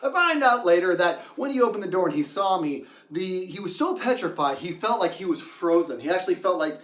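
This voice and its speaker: Male storyteller, high and very animated, theatrical casual delivery, recorded off-mic in a roomy space. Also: fairly quick, recorded from a distance. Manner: theatrical, acts out quotes and reactions. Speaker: storyteller, host of the show. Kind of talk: animated casual anecdote telling.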